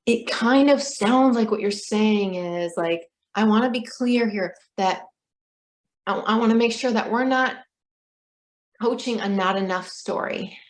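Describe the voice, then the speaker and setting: A woman life coach speaking slowly and softly on a video call, reflecting back what she hears. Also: mid-range voice. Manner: slow, soft-spoken, reflective. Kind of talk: gentle coaching session. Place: home room on a video call.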